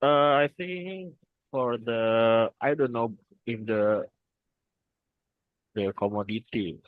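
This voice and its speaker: A man's voice: medium pitch, takes it slowly, no room sound. A young man from North Sumatra with an Indonesian accent, answering in class hesitantly with many restarts. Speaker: student. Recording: dry, dull lo-fi sound.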